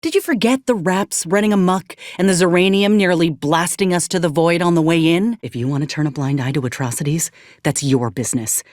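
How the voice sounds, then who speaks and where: A woman's voice: on the low side, a little fast, darkish tone, no room sound, studio quality, lightly acted. An actress playing a principled, wounded woman soldier in a video game, weary yet fiercely indignant, speaking earnestly in folksy, old-fashioned frontier phrasing. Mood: firm, indignant. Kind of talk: dramatic video game acting.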